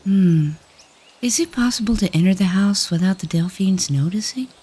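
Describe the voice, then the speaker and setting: A woman's voice: mid-range voice, moderate pace, dark tone, slightly rough, voice pushed up. A woman playing a worried, non-human expectant mother in a fantasy audio drama, candid and conversational with her friend. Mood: pensive. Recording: faint music behind the voice.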